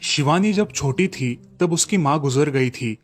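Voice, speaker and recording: A man's voice: a little high, lively intonation, unhurried. A man narrating a scripted cartoon story as the storyteller.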